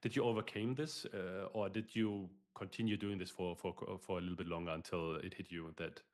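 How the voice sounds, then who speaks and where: Man, podcast host, low voice, halting, repeats words. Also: fairly even intonation. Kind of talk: casual interview.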